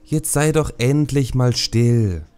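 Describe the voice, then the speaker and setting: A man's voice: medium pitch, a little mellow, faint music behind, pitched above the performer's own voice, close to an everyday voice. A man voicing an impatient, whiny panda child who pleads and complains in an audiobook. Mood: bored, annoyed. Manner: pleading, drawn-out, exasperated. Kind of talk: audiobook character acting.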